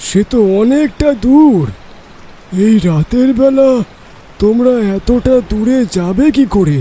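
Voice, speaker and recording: A man doing a high, dark-toned eerie village man. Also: lively intonation, slow, heavily acted. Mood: ominous. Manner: grave, warning tone. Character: eerie gaunt village man, grave and ominous. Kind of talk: animated horror story acting.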